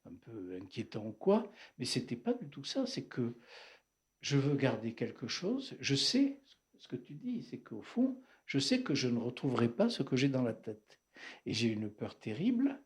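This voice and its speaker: A man's voice: mid-range voice, big pitch swings, moderate pace, a little mellow. A man talking through a personal memory at a bookshop event, reflective and hesitant, with fillers and restarts. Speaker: psychoanalyst speaking at a bookshop talk.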